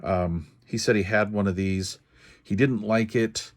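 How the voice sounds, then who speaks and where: An older man with an American accent and a fairly low voice. He talks through hands-on repair work casually and without hurry, thinking aloud in a plain, folksy way. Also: fairly even intonation, unhurried. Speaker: radio repair video host.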